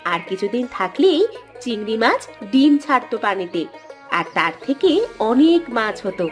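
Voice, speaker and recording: A woman's voice: on the high side, sing-song, moderate pace, soft background music. A woman voicing a village wife and mother in a cartoon, scolding but indulgent. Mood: patient, indulgent. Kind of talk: cartoon dialogue.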